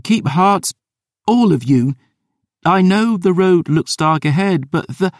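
A man voicing a fierce young girl, earnest and rallying, with a sharp tongue. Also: medium pitch, sing-song, measured pace, no echo, clean studio sound, voice pushed up.

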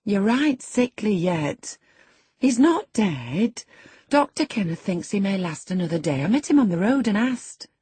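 Lady doing a gossipy country housekeeper in a storybook, raised husky voice, brisk n rambling, dry close sound. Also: mid-range voice, very animated, warm tone, dry recording, voice pushed up, exaggerated voice. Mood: soothing. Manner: rustic dialect turns, exclamatory, rambling.

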